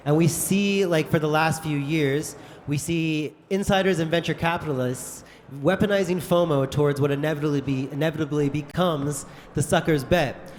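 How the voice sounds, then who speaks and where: A man moderating a conference panel debate, brisk and joking in an informal way. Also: a little high, a little hiss or hum. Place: large conference hall. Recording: echoey conference hall.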